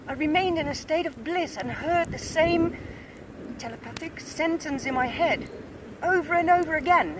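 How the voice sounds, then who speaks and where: A woman with a Dutch accent reading aloud from her own book in an earnest, measured way, outdoors in a snowy field. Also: high voice. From Netherlands. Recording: distant mic, outdoor noise.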